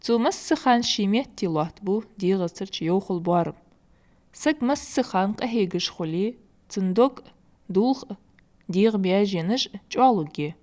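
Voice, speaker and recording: A woman's voice: medium pitch, expressive, normal speed, darkish tone, lightly acted. A woman voicing a patient grown woman who explains things in a dubbed family cartoon. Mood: explaining. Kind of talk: cartoon dubbing.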